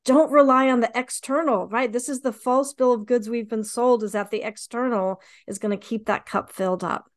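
A woman's voice: fairly high pitch, steady tone, normal speed. A woman hosting a podcast interview, affirming and encouraging her guest. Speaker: podcast host. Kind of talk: interview conversation.

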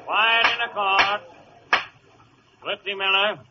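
Stern judge on noisy old radio. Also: male voice, high-pitched, slow pace, muffled recording. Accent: American. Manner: formal, commanding pronouncements. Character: stern, formal male courtroom judge. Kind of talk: 1940s radio comedy acting. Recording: very noisy, thin 1940s radio recording.

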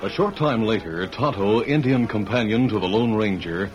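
A man's voice: lowish voice, moderate pace, music in the background. A man reading scripted narration for an old-time radio drama. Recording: dull band-limited vintage radio recording.

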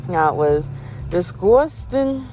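Early-twenties woman hosting her own chat podcast; rambling, self-mocking, giggly, a bit low in pitch, soft music underneath. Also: animated, extremely slow, poor audio. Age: 20s. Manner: chatty, self-deprecating, giggly, swings up in pitch. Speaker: podcast host. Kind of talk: casual solo podcast chat. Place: in a car.

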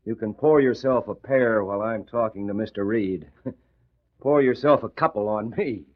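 An actor in an old radio drama playing a nosy, wisecracking young newspaper reporter with a breezy way of talking. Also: male voice, lowish voice, moderate pace, close to an everyday voice. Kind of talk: 1940s radio acting. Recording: tinny old radio recording.

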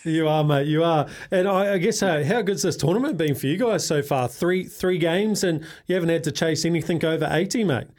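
A man co-hosts a sports radio show, friendly and joking, calling his guest mate as he asks questions. His voice sits a little higher than most men's. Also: fairly quick, few pauses, a little mellow.

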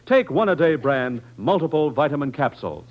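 American guy doing a 40s radio ad read, super lively intonation, old muffled radio sound. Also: on the high side, fairly quick. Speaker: radio announcer. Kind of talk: 1940s radio commercial announcing. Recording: dull lo-fi 1940s radio broadcast.

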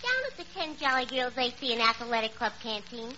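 A woman's voice: fairly high pitch, brisk, bright, forceful tone. An actress playing a dim, man-hungry, chatty young woman in a 1940s radio comedy, stating silly logic matter-of-factly. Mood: breezy, naive. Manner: naive, matter-of-fact illogic. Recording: dull, hissy 1940s radio recording.

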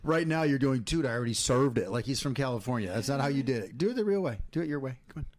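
A middle-aged man in easy podcast banter, teasing, playful and quick to swear. His voice sits at an ordinary pitch for a man. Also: lively intonation, darkish tone. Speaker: podcast co-host. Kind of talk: casual banter.

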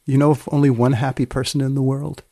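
A man hosting a casual interview, asking gently probing questions in a deliberate way. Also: mid-range voice, flat delivery, dry recording, clean studio sound. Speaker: host interviewer.

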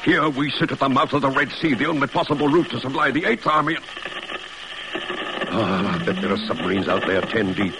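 A man's voice: mid-range voice, normal speed, lightly acted. An actor playing a fretful, impatient male officer in a dramatic 1950s radio play. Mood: anxious, grumbling. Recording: noisy old radio drama broadcast.

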